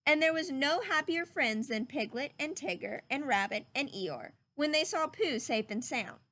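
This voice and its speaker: A woman reading a story aloud to children like a teacher, with expressive, dramatic emphasis. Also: high voice, moderate pace.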